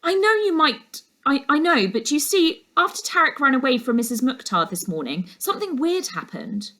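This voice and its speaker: Lady doing a worried stubborn little girl for a kids story, high voice, excitable and urgent. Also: normal speed, heavily acted. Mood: earnest, hesitant. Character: anxious, insistent, stubborn young girl.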